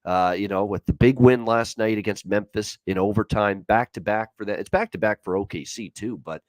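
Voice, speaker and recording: A man's voice: fairly low pitch, normal speed, no echo. A man hosting a sports betting show, giving his opinions in casual, conversational commentary.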